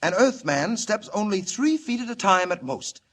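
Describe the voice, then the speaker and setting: An actor playing an earnest, urgent alien scientist in grave, theatrical 1940s radio style. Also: male voice, high voice, very animated, moderate pace, a bit bright, exaggerated voice. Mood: earnest, explaining. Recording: old 1940 radio recording, echoey in places.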